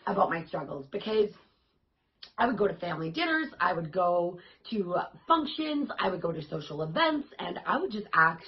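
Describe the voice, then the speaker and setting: Hoarse, raspy-voiced woman fitness coach. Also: lowish voice, sing-song, normal speed, dull audio. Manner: upbeat, chatty and encouraging. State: hoarse, voice worn out. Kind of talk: casual vlog talk to camera.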